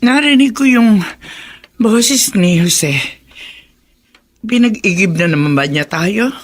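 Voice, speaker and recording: An actress playing a sickly, affectionate elderly mother in a Filipino radio drama, with a creaky, husky voice. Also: mid-range voice, lively intonation, no echo. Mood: fond, advising. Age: older. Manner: slow, tender, motherly.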